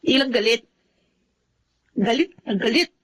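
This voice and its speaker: A woman's voice: on the high side, lively intonation, unhurried, fairly bright tone. A woman playing an emotional, resentful teenage housemaid girl in a Filipino radio-style melodrama. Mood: bitter anger. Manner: emotional delivery, curt or pleading. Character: sullen, resentful teenage housemaid girl newly come to the city.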